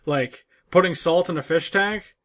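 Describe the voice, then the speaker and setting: Rambling man with a slightly high voice. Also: fairly bright tone. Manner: rambling, halting, profane. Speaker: podcast co-host. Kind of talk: casual chat.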